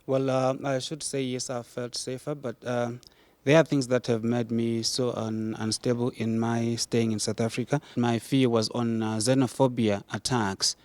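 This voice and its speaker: A man's voice: mid-range voice, level tone, normal speed, darkish tone, no room sound. A man from Zimbabwe, an activist guest on a studio debate, answering with many hesitations and restarts.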